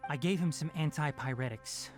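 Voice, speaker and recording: A woman playing a brisk, stern but caring young male forest watcher in a video game, precise and no-nonsense. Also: low voice, over music, close to an everyday voice. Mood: serious, explanatory.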